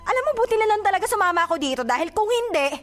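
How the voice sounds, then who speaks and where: A woman's voice: extremely high pitch, big pitch swings, very fast, a bit bright, full character voice. A Filipino-accented woman playing a jealous, easily offended young girlfriend in a radio drama, with a confrontational, sarcastic manner. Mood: angry, jealous. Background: background music.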